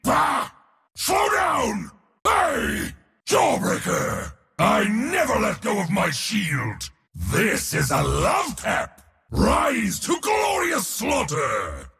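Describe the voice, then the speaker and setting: A man playing an arrogant, boisterous war god in a video game, speaking in a bombastic, boastful way with a gravelly, raspy voice. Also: high-pitched, huge pitch swings, drawn-out words, sharp tone, raised voice, big-room sound, heavily acted. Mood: boastful roaring. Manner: bombastic, boastful, theatrical delivery. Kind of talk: video game hero voice lines.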